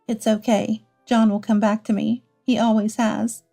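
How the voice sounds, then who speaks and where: Woman acting an earnest wife, fairly high-voiced. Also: flat delivery, unhurried, mellow, faint music behind. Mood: soothing. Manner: earnest, pleading. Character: adult wife and mother, hurt but protective.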